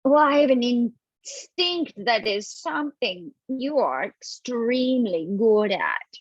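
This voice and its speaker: A woman's voice: fairly high pitch, sing-song, slow pace, no room sound, dull audio, exaggerated voice. A woman reading a teasing, flirtatious woman at the races, coy in manner.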